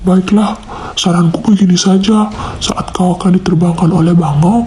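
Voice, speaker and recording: A helpful fable monkey, acted by a man, speaks frankly and offers advice. The male voice is very high yet notably mellow, with faint music behind it. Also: fairly even intonation, normal speed, lightly acted. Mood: frank, advising.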